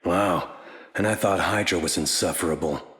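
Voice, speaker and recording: A man's voice: sits low, moderate pace, a bit bright, in a large hall. A man voicing a wry, battle-worn soldier hero with a metal arm in a video game, delivering dry quips.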